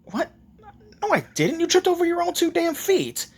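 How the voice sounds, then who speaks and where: Guy doing a cocky anime ninja teen, pitched up, swingy, blunt n quick to snap. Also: wildly swooping pitch, slightly rough, full character voice. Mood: baffled. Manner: blunt slangy talk, easily riled. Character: cocky, hot-tempered teenage ninja boy, blunt and irritable.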